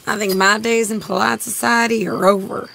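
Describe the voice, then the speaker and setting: A tough American outlaw in a western game, frank and restless, with a gravelly creak in her drawl. There is faint noise behind her. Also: mid-range voice, fairly even intonation, moderate pace, a bit bright. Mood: wry, eager. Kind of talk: cinematic video game acting.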